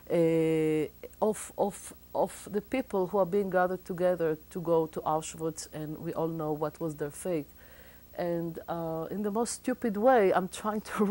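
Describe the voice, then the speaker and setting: A woman's voice: lowish voice, a little mellow. A middle-aged Israeli woman with an Israeli accent, explaining as a guest in a TV studio interview, with many thoughtful hesitations.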